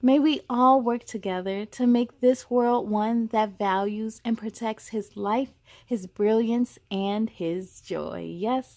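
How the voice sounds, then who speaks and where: A woman hosting a children's story time, reading aloud expressively with encouraging, chatty asides to young viewers. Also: a little high. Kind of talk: animated children's read-aloud.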